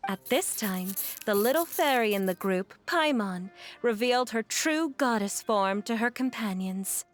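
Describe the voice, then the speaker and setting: A woman voicing a polite, gentle young female adventurer in a video game, speaking in formal, courteous phrases. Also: a little high, animated, a little slow, over music. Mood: gentle storytelling.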